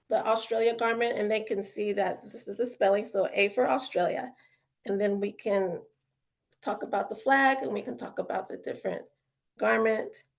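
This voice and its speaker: A woman's voice: a little high, vocal fry. A woman giving a casual webinar talk as an author and educator, chatty and full of fillers, upbeat about children's learning. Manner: chatty, lots of fillers like "you know" and "um".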